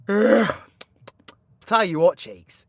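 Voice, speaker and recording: A man's voice: high voice, extremely slow, creaky, heavily acted. A British man voicing a cocky, crude, flirty supervillain in a roleplay audio, with sweary, teasing, slangy banter. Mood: mock-gentlemanly grumbling. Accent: British English. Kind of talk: villain roleplay ASMR audio drama.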